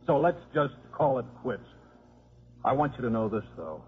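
A man's voice: medium pitch, very animated, moderate pace, close to an everyday voice. An actor playing a cynical, combative American football coach and father, slangy and sardonic, in a 1950s radio drama. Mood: bitter, resigned. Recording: dull, noisy 1950s radio recording.

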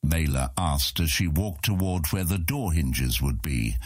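Deep voiced guy narrating fantasy story, kinda raspy, dramatic delivery. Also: expressive, a little slow, darkish tone, dry recording, studio quality. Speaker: audiobook narrator.

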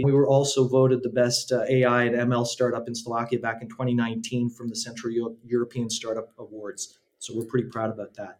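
A man with a Canadian accent, a startup co-founder giving a steady, businesslike account of his company in an interview. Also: medium pitch. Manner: steady, businesslike and promotional, with some restarts. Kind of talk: conversational interview.

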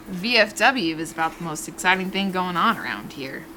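A woman's voice: on the low side, animated, moderate pace, bright, forceful tone, slight background noise, poor audio. An actress playing a blunt, jaded small-town cafe woman in an audio drama, dry and sardonic.

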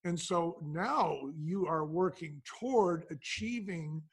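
A man with a notably high, raspy-edged voice talks as an interview guest. He explains business strategy in a conversational way, often setting up a question and then answering it himself. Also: slow pace. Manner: explanatory, poses questions then answers them, acts out dialogue. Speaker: podcast guest, business founder.

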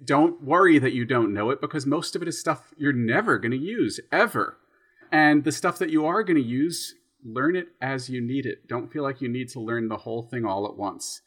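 A man's voice: medium pitch, moderate pace, fairly bright tone. A man, a podcast guest, giving practical, reassuring advice in casual chat.

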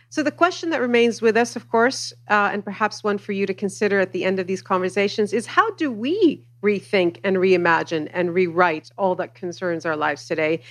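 A woman moderating a public panel discussion, measured and inviting as she poses questions. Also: mid-range voice.

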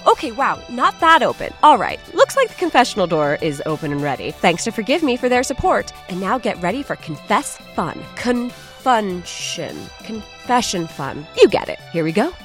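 A woman's voice: medium pitch, sing-song, normal speed, few pauses, faint music behind. A woman hosting a podcast, giving a scripted comic introduction in a playful, theatrical, punning manner. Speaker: podcast host.

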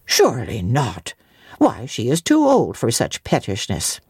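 Period storybook gentleman actress doing the part: skeptical country apothecary, low, brisk, teasingly gruff, full of questions. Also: wildly swooping pitch, no echo, studio quality, heavily acted. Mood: scoffing.

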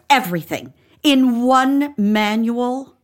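A woman's voice: fairly high pitch, lively intonation, very slow. An actress playing a sardonic, outspoken witch physician in a comedic audio drama, dry, skeptical and sarcastic. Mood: exasperated.

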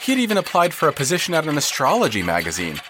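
A man's voice: a little high, wildly swooping pitch, racing, background noise. A man narrating scripted literary fiction in a dramatic, wry storytelling manner. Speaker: narrator of an audio fiction podcast.

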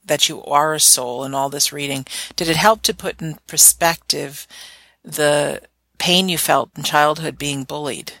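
Casting: female interview show host, low pitch, gravelly edge, dry close sound. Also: steady tone, measured pace, a bit bright, no echo. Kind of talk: interview show hosting with scripted intro.

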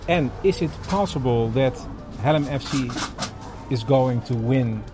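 A man's voice: mid-range voice, a little slow, over music. A Dutch man hosting a podcast, chatting casually in a field recording outdoors. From Netherlands. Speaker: podcast host. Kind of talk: casual on-location chat. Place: outdoors at a football ground.

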